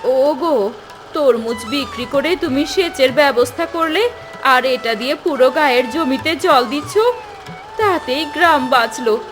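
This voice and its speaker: A woman's voice: high voice, unhurried. A woman playing a devoted farmer's wife in a cartoon folk tale, affectionate and earnest. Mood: grateful. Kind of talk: Bengali cartoon voice acting. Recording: music behind the voice.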